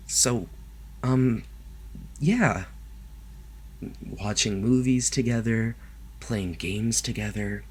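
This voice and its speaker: A man playing a lovestruck, possessive kidnapper in an ASMR roleplay, speaking softly and haltingly to the listener, sometimes close to a whisper. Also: on the low side, slightly rough, heavily acted. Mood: flustered, reassuring. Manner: soft, gentle, halting, sometimes dropping to a whisper. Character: lovestruck male yandere kidnapper, doting, possessive, awkwardly reassuring.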